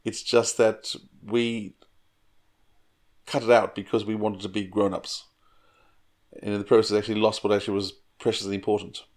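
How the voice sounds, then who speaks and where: A man in his forties co-hosting a relaxed podcast discussion, with a fairly low voice. He sounds thoughtful and earnest, now and then laughing lightly as he makes his points. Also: moderate pace, long pauses. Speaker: podcast co-host.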